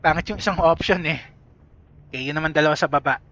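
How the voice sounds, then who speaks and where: A man's voice: fairly high pitch, rapid-fire, a bit bright. A man giving casual let's-play commentary and muttering to himself between dialogue choices. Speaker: gaming channel host. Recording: dull lo-fi recording.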